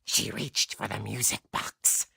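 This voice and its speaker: A performer playing a gleeful ghoulish horror storyteller in a video game, croaking out mock-sinister, melodramatic narration. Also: unhurried, background noise, lightly acted. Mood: ghoulish relish. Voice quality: gravelly, croaking. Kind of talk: theatrical horror anthology narration.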